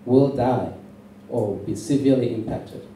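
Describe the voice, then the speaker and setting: A man from Sri Lanka with a very dark, muted voice delivers an intense political speech. He is emphatic and deliberate. He is heard from a distance in a heavily echoing room. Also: medium pitch. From born in Sri Lanka. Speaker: campaign speaker at a public meeting. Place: indoor public meeting venue. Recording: very echoey, distant, faintly noisy hall recording.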